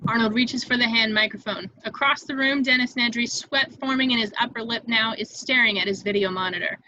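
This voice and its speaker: A woman narrates a screenplay's scene directions. Her voice sits a little high and sounds bright and crisp in its attack, though the recording is somewhat dull and lo-fi. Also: brisk, a little hiss or hum. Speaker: narrator reading stage directions in a table read.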